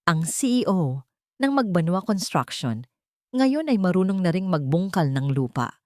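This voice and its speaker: A woman's voice: fairly low pitch, lively intonation, a little slow, darkish tone, dry recording, clean studio sound. A woman narrator voicing a hopeful, reassuring young wife in a story. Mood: amused, tender. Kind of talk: storybook dialogue within narration.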